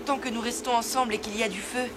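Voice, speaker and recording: A woman's voice: a little high, fairly even intonation, quick pace, noisy background, natural voice. A woman playing a brave, caring young boy in a French cartoon, earnest and protective. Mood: calm, reassuring. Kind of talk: French animated series dubbing.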